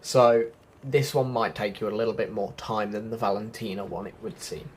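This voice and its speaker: A man's voice: lowish voice, fairly even intonation, normal speed, breathy edge. A man giving a casual, chatty gameplay walkthrough, explaining things directly to viewers as a video host.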